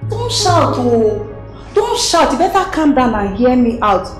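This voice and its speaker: A woman's voice: medium pitch, expressive, normal speed, a little mellow, lightly acted. A woman with a Nigerian accent playing a bold, taunting, confrontational housemaid in a melodrama. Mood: taunting, defiant. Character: bold, defiant household maid blackmailing her boss. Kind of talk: melodramatic screen drama acting. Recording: very echoey room, music behind.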